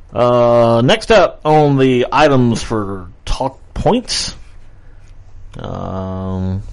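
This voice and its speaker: A man's voice: lowish voice, vocal fry, darkish tone. A man co-hosting a local radio talk show, bantering playfully and laughing often. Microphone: studio microphone.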